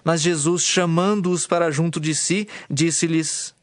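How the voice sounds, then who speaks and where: Higher-voiced Brazilian male scripture narrator. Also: fairly even intonation, slow, no room sound. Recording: clear music bed behind the voice.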